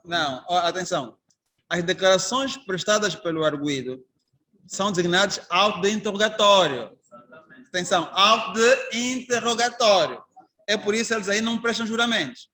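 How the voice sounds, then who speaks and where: A man's voice: sits high, moderate pace, sharp tone. A man with an Angolan Portuguese accent giving an informal lecture to trainee magistrates, telling anecdotes and putting rhetorical questions to the class. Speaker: judge and trainer.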